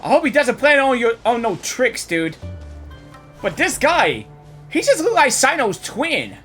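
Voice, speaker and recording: A woman reacts to a game in casual, expressive commentary. Her fairly high voice swings wildly in pitch, repeating phrases, with faint music behind. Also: a little slow. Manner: expressive, exclamatory, repeats herself. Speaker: gamer reacting to a game story.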